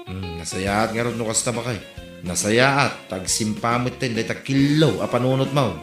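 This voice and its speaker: A man's voice: medium pitch, moderate pace, natural voice. An actor with an Ilocano accent playing a plain-spoken village man in a comedy drama. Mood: scolding. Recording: roomy echo, faint music behind.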